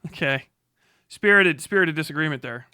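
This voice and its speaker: A man's voice: a little high, expressive, normal speed, pushed and bright, clear, resonant tone, no room sound, studio quality. A man livestreaming sarcastic, profane off-the-cuff commentary in a mocking, exclamatory way. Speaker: livestream host.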